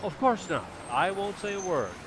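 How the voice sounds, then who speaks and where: A man's voice: high voice, very animated, normal speed, noisy background. A man playing an eager, self-reproaching family man in a vintage-style radio comedy, with an earnest, theatrical and easily flustered delivery. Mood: eager, obliging. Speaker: community theater actor.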